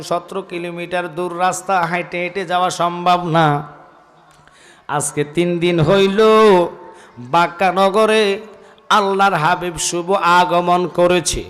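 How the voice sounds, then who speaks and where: A Bangladeshi man preaching an Islamic sermon, telling stories in an impassioned, declamatory way with drawn-out, chanted phrases. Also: high-pitched. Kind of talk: Bengali waz sermon, dramatic storytelling. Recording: long reverb from loudspeaker system.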